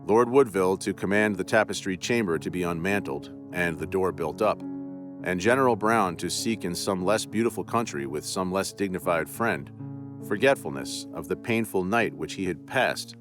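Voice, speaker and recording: A man hosting a podcast and narrating a classic ghost story in a measured, theatrical way. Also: deep voice, music in the background.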